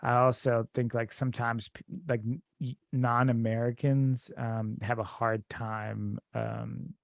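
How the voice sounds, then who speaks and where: A man's voice: medium pitch, flat delivery, unhurried, gravelly, no room sound. A man hosting a casual podcast interview, rambling with lots of fillers and restarts. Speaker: podcast host.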